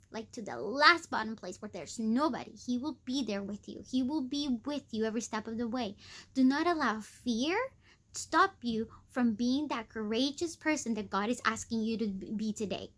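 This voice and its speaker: A woman hosting a weekly devotional talk, earnest and encouraging, conversational with many fillers, with a squeaky voice. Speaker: host of a weekly devotional video. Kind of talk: devotional teaching.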